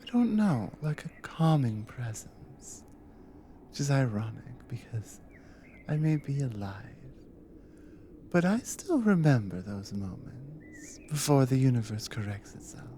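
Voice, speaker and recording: A woman playing a devoted, teasing Nordic warrior wife in an intimate ASMR roleplay, speaking in a soft, breathy murmur. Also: extremely low pitch, a little hiss or hum, full character voice. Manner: soft, breathy, hushed murmur with unhurried pauses.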